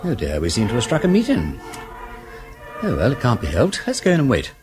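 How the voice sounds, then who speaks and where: Gentleman detective, upper-class English accent, mid-pitched, hugely animated, urbane, breezy, radio drama. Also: fairly quick, music in the background. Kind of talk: British radio drama acting.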